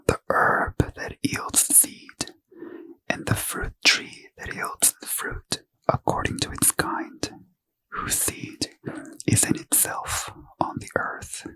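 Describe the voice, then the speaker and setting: A man reading scripture in a slow, soft unvoiced ASMR whisper as a host. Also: no room sound, low-quality recording.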